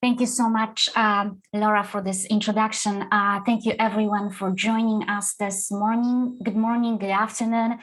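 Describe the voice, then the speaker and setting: A woman's voice: mid-range voice, little pitch movement, normal speed, hardly any pauses, poor audio. Woman with a Polish-French accent lecturing. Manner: hesitant, many uh and um fillers. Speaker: guest lecturer. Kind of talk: presenting a lecture over slides. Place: online webinar.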